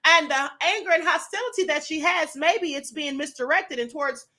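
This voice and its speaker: Host, woman, sassy video commentator; high, lively, bright voice. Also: moderate pace. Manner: sassy, animated, laughs often. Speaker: show host. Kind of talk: commentary reacting to viral videos.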